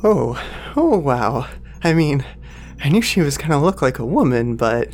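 A man's voice: mid-range voice, big pitch swings, unhurried, darkish tone, faint music behind. Guy playing a jittery young assistant, polite and apologetic, stammers, voice jumps around a lot, audio drama. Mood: amazed, hesitant. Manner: hesitant, polite, flustered, stammering. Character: nervous, apologetic young male corporate assistant.

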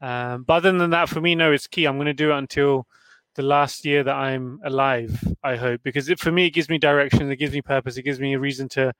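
A man in his thirties speaks in a measured, orderly way, pausing on frequent "um"s. His voice is mid-pitched and sounds dry and close. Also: fairly even intonation, a bit bright, dry recording, low-quality recording. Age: 30s. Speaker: panel guest. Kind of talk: casual discussion.

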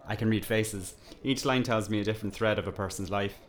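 A man acts out a village barkeep for an audio drama. His voice sits on the low side, and he talks in a folksy, wry way. He is chatty and politely nosy, always ready to tease. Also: fairly even intonation, brisk, close to an everyday voice. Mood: friendly, probing. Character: chatty, wry village pub landlord with a teasing streak.

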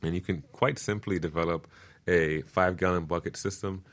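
Very deep-voiced man explaining things. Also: steady tone, normal speed, fairly bright tone. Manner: earnest, stepwise explaining, checks in with "right?". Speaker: guest permaculture designer explaining his work. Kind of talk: casual explanatory radio interview.

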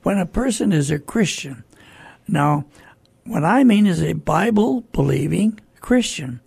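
An older man hosting a radio program informally, with a gravelly, husky voice and an earnest, halting way of repeating himself. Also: sits high, lively intonation, a little mellow, dry recording. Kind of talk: informal hosting, introducing songs.

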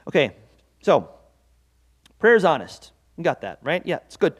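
Earnest pastor, conversational and lively. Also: male voice, on the high side, normal speed. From Norwegian-American heritage. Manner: conversational and earnest, with self-deprecating asides. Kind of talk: devotional sermon.

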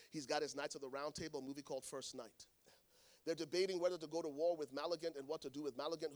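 A man preaching a sermon to a congregation, swinging between hushed lines and shouted ones. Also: fairly high pitch. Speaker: pastor. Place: church in front of a congregation.

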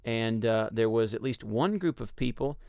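A man with a Texas accent explaining a Bible passage in a measured, teaching way on a radio discussion. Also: mid-range voice, expressive, a little mellow, dry recording, studio quality. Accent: Texan. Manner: measured, explanatory, with frequent ums and uhs.

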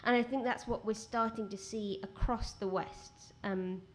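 Quiet lady rambling on at an event, restarts a lot, a little hiss behind. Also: mid-range voice, normal speed. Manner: rambling, animated, many restarts. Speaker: guest writer. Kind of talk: conversational talk at a live event. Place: outdoor event with rain.

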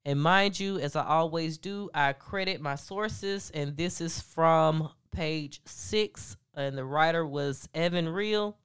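Female gossip host: deep, congested voice, very smooth and resonant, chatty and frank. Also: slow pace, hardly any pauses. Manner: chatty and frank, many ums and asides to listeners. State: stuffed-up nose. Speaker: podcast host. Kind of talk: casual celebrity gossip commentary.

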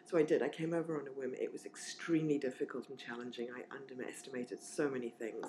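A woman's voice: very deep voice, steady tone, fast talker, mellow, slight background noise. A woman in her forties from southern Africa, talking casually and reflectively as an interview guest, with plenty of fillers and restarts. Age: 40s.

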